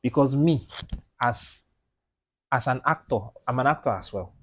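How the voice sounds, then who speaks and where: A man speaks on a studio podcast, lively and insistent. He swings from raised, emphatic delivery down to a low, hushed voice when driving a point home. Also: unhurried, slight background noise. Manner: animated and emphatic, repeats "do you understand?"; drops to a low, quiet voice when making a point. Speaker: podcast co-host. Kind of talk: casual group discussion.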